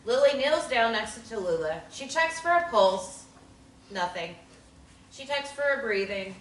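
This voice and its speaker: A woman's voice: on the high side, expressive, measured pace. Plain stage direction reader, woman, distant mic in an echoey room. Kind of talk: reading stage directions aloud. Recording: roomy, distant mic with background noise.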